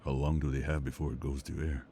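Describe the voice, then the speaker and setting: A man acting a devoted, steady partner and father in an intimate audio drama, calm and reassuring. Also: extremely low pitch, quick pace, a little hiss or hum. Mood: concerned.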